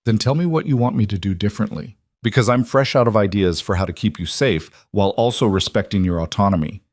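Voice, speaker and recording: A man voicing a cold, determined mafia boss who is protective of his family, with intense, measured delivery in an audiobook. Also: on the low side, darkish tone, no echo, clean studio sound. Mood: earnest, firm. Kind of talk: audiobook character dialogue.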